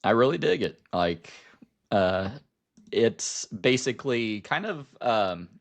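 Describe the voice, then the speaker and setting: A man co-hosting a podcast, chatting casually about film and TV in a halting, rambling way. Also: on the low side, sing-song. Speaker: podcast co-host.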